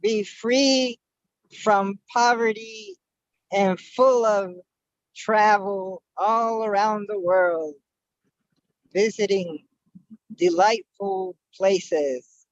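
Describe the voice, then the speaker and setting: Man, 60s, slow wry talker, dry dull recording. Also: high-pitched, dry recording. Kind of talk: casual group chat. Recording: dry and muffled.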